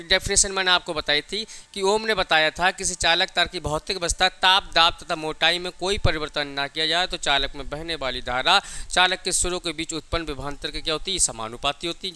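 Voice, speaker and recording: A man's voice: on the high side, pushed and bright, smooth. A man teaching a physics lesson, dictating definitions, repeating key phrases and answering his own questions. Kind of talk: classroom lecture with dictated definitions.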